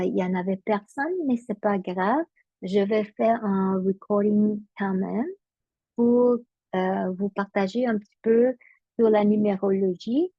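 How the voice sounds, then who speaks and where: A woman's voice: mid-range voice, dry recording, muffled recording. A middle-aged woman, originally from Vietnam, recording a casual numerology webinar as a coach, speaking hesitantly with frequent fillers and restarts.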